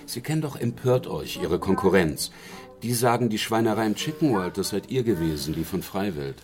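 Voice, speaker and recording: An actor playing a dry, stubborn middle-aged police detective in a naturalistic radio crime drama, brusque and sardonic. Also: male voice, fairly low pitch, expressive, moderate pace, music in the background, lightly acted. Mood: dry, wry.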